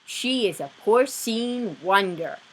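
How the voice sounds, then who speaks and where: Woman reading as a mild, earnest storybook husband, animated. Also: mid-range voice, takes it slowly, voice pushed up, poor audio. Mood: amazed. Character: mild, cautious husband, easily astonished. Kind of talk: children's storybook character voice.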